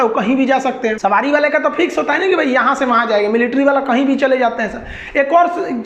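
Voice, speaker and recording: A woman with a Bihari accent teaching in an informal, colloquial lecture style, explaining things through everyday examples. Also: high voice. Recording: echoey room, slightly dull sound.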